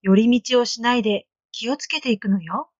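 Woman as a caring mother, soft instructions, dry close sound. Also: a little high, moderate pace, dry recording, lo-fi sound, lightly acted. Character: gentle mother giving instructions.

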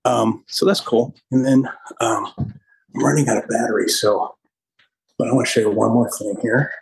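A man in his fifties giving an enthusiastic, casual walking tour over a phone video call, speaking in a halting way. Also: medium pitch, fairly even intonation, gravelly, slightly rough, low-quality recording. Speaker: podcast host giving a studio tour. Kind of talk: casual chat, walk-through tour. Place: indoors, walking through studio offices and hallways. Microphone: phone held at arm's length on video call.

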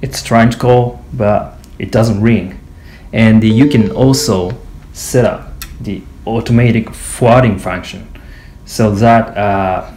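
A man giving an informal product demonstration in a hesitant, halting way with frequent pauses. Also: on the low side. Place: small indoor office or meeting room. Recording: echoey room, faint background noise.

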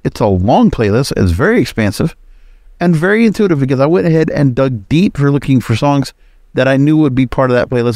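A man with a medium-pitched voice delivers solo podcast commentary. He talks conversationally and emphatically, like an informal host sharing his opinions. The sound is dry, with very little room in it. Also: a little mellow, no room sound.

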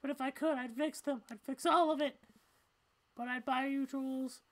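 A woman reading video game dialogue aloud in an expressive, conversational style. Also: sits high, moderate pace. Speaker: game streamer voicing characters.

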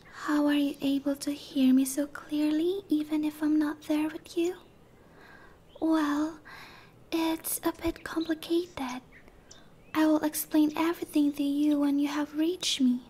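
A woman voicing a shy, gentle young dryad in a breathy, hesitant ASMR whisper. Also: high voice. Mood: shy, welcoming. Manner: hesitant, soft-spoken, earnest. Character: shy, gentle young female dryad, a tree-bound monster girl.

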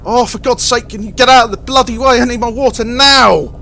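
A woman's voice: lowish voice, expressive, moderate pace, a little hiss or hum, close to an everyday voice. A woman voicing a spiteful, boastful little male tender engine with a sneering manner. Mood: angry.

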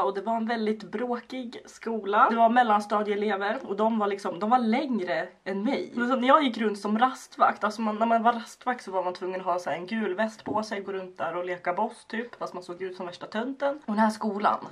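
A young woman vlogging in a casual, playful storytelling way. Her voice is husky and sits at an average female pitch, recorded indoors in a bedroom.

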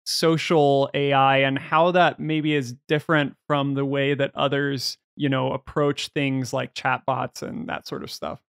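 A man's voice: mid-range voice, little pitch movement, a little slow, vocal fry, few pauses, clean tone, dry recording, clean studio sound. A man co-hosting a podcast, introducing a guest and asking questions in an upbeat, friendly way with some fillers. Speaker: podcast co-host. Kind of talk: podcast interviewing.

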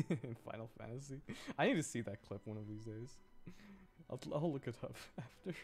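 A man's voice: mid-range voice, lively intonation, moderate pace, darkish tone. A man chatting casually on a game livestream, rambling and often repeating his words as he reacts to viewers. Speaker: game streamer.

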